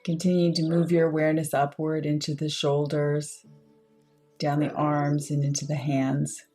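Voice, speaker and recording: Low-pitched woman guiding a slow meditation. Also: flat delivery, dark tone, soft background music. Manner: unhurried, measured short phrases with pauses. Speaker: meditation guide. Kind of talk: guided meditation, slow soothing instruction.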